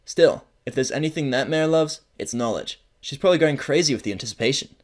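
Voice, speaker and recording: A man's voice: medium pitch, animated, fast, voice pushed up, close to an everyday voice. A man doing a laid-back young mare. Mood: amused. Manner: easygoing, casual. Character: laid-back young female pony friend.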